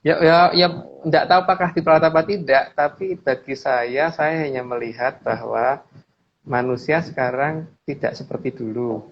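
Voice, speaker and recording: A man's voice: mid-range voice, moderate pace. A middle-aged man explains his opinions in casual discussion, often repeating phrases and seeking agreement. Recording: dull lo-fi audio, clear background noise.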